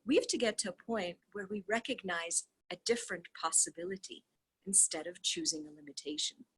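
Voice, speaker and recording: A woman with a husky, breathy voice at a middle pitch reads a book aloud as a host. Her delivery is effusive and casual, with dramatic emphasis and drawn-out words. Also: animated, a bit bright, rough recording. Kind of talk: read-along with casual asides.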